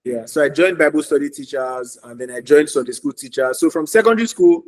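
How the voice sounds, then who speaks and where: A man's voice: on the high side, a little fast. A man, earnestly telling his story as a podcast guest, repeating phrases for emphasis. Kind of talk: casual podcast interview.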